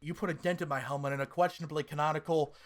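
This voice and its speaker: A man co-hosting a casual pop-culture podcast, talking in an excitable, hyperbolic fan style with plenty of swearing. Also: a little high, racing. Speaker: podcast co-host.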